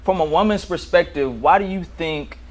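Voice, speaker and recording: A man's voice: on the high side, normal speed. A man hosting a casual interview podcast, laid-back, often repeating his own phrases. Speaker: podcast host.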